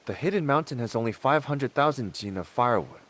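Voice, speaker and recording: A man's voice: mid-range voice, expressive, brisk, a bit bright, natural voice. A man playing a friendly, inquisitive young wanderer hero in a video game, speaking expressively. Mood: excited, puzzled.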